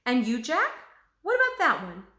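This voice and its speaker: Leonardo, a woman voicing an animated, eager male artist. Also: sits high, moderate pace, pitched above the performer's own voice, full character voice. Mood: excited. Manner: exclamatory, lecturing enthusiasm. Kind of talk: children's storybook read-aloud. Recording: roomy, echoing room sound.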